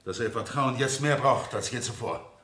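An actor playing a relentless, insinuating cross-examining lawyer in a radio play, with formal, pointed, sardonic questioning. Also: male voice, medium pitch, moderate pace, fairly bright tone, lightly acted. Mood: accusing. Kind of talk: 1970 German radio play acting. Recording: echoey vintage radio studio.

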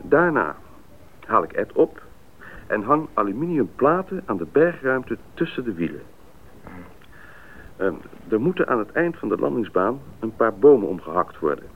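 An actor playing a decisive expedition pilot in a Dutch radio drama, speaking in a deliberate, businesslike way. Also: male voice, fairly low pitch, very animated, breathy edge, heavily acted. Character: decisive male expedition pilot leading the planning. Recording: muffled narrowband radio play recording.

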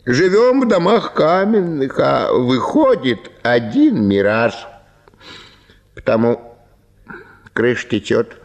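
An actor in a radio drama playing an impoverished small landowner, fawning, plaintive and gushing with tearful gratitude. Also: male voice, medium pitch, sing-song, exaggerated voice. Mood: plaintive wheedling. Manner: obsequious, plaintive, gushing, drawn-out exclamations. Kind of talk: theatrical Russian radio drama.

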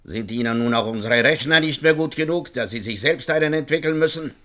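Man acting a sharp, accusing official. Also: medium pitch, fairly quick, lightly acted. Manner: curt, reproachful. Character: stern, accusing male official interrogator. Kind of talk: German radio play acting.

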